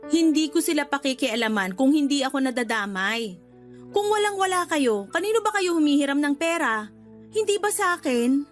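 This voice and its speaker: A woman's voice: high voice, expressive, moderate pace, faint music behind. A woman acting in a Tagalog radio drama as a married homeowner who nags and lectures her older brother. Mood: scolding, indignant. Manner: nagging, preachy, emphatic.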